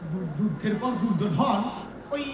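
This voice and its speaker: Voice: medium pitch, animated, moderate pace, distant mic, lightly acted. An actor playing an exasperated, scolding director of a bumbling amateur troupe in a comic stage play, ranting with sarcasm. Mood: resigned grumbling. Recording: echoey hall, loud music and audience noise.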